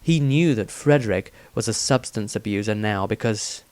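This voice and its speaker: A man's voice: fairly low pitch, normal speed, darkish tone, no room sound. Man narrating fantasy, dry close sound. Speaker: narrator, author reading aloud. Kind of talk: scripted fantasy story narration.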